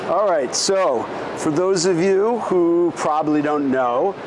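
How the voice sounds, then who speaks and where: A man's voice: a little high, slow pace. A man, a fitness vlogger, chatting and joking casually while working out on a city sidewalk. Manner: chatty, joking between exercises. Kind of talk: casual chat during a workout. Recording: street traffic noise.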